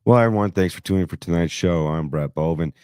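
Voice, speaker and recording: A man hosting a podcast in brash, profane banter, his voice hoarse and raspy after being sick. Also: low-pitched, fast, a little mellow, dry recording, studio quality. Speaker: podcast host. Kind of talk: casual crude banter.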